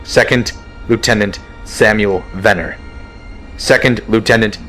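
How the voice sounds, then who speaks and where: A man playing a weary fighter-wing colonel who speaks in a solemn, measured way in a fan audio drama. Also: lowish voice, a bit bright, soft background music. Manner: solemn and measured, dryly blunt in private. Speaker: colonel and squadron commander.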